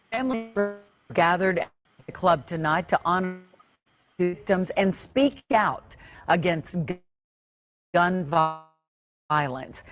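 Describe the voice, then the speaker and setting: An American woman anchors a television newscast with a scripted delivery. Her pitch sits a little low and her pace is slow and measured. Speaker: TV news anchor. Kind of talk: scripted news reading.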